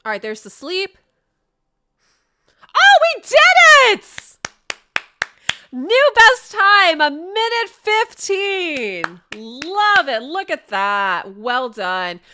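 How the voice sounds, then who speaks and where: A woman's voice: extremely high pitch, very animated, extremely slow, noisy background. A young woman casually commentating her gameplay to viewers, sniffly from a sinus infection, chatty and upbeat, recorded indoors on a desk mic. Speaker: gaming content creator.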